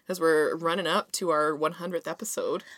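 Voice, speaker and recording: Woman, lowish voice, playful casual podcast talk, dry close mic. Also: fairly even intonation, normal speed, no echo. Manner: playful, dark jokes, loose and swearing. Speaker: podcast co-host. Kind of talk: casual chat.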